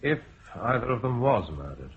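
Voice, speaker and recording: An actor playing a police doctor who speaks in a dry, matter-of-fact way. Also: male voice, medium pitch, big pitch swings, moderate pace, narrow, tinny audio, a little hiss or hum, close to an everyday voice. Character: dry male police surgeon.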